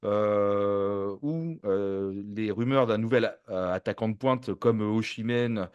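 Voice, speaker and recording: A man hosting a casual football fan show, halting and wordy, often circling back to rephrase. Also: on the low side, darkish tone, clear, resonant tone, highs cut off. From France. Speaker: host of a football fan channel. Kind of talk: casual sports chat.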